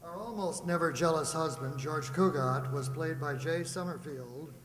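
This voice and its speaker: A man's voice: on the high side, normal speed. A man announcing live on stage for a radio-play group, folksy and conversational, with rambling asides in an echoey, noisy hall. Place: reverberant hall before a live audience.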